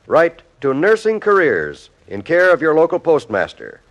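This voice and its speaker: A man announcing in scripted 1950s radio style, on a vintage broadcast recording. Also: medium pitch.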